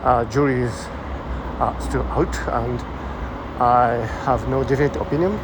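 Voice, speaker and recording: Japanese-accented man musing on a loud street. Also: medium pitch, steady tone. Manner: thoughtful, halting, many fillers. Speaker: vlogger. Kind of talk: musing monologue while walking. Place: busy city street outdoors. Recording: loud street traffic behind.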